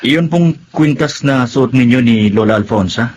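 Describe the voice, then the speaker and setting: A man's voice: mid-range voice, animated, normal speed, a little mellow, slight background noise, natural voice. An actor playing an easygoing, generous young man in a Filipino drama, speaking casually. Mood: kindly. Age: young adult. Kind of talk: Filipino radio-style drama acting.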